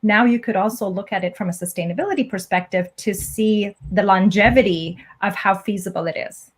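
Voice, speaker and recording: A woman born in Egypt, an academic guest, giving measured, explanatory interview answers. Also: mid-range voice, steady tone.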